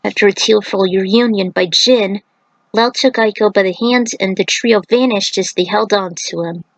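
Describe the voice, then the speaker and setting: A woman's voice: medium pitch, moderate pace, no echo, rough recording. A woman reading an action fantasy story aloud as a narrator, in a dramatic scripted style.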